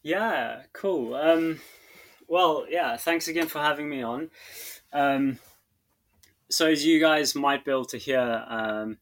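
A man in his thirties with a South African accent, talking about his farm as a podcast guest, slow and halting with many fillers and restarts. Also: a little high, a bit bright. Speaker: guest farmer. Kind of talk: podcast interview.

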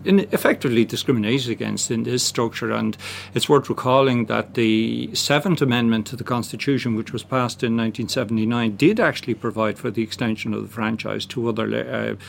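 A man's voice: mid-range voice, steady tone, brisk, vocal fry, a little hiss or hum. A man, a candidate on a radio panel, speaking in a formal and articulate way. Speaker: election candidate.

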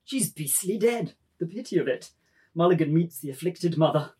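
Low, husky mocking jester, played by a woman. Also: lively intonation, moderate pace, dark tone. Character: flippant mocking male jester in motley.

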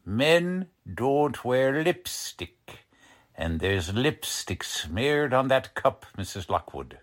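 A man performs a hard-boiled police lieutenant from a 1940s radio play. He is gruff and bullying, with streetwise slang. His delivery is slow and deliberate, with strongly varied intonation. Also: mid-range voice, sing-song. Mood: accusing, impatient. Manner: slangy, ungrammatical tough-cop talk, accusing. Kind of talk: 1940s radio drama acting.

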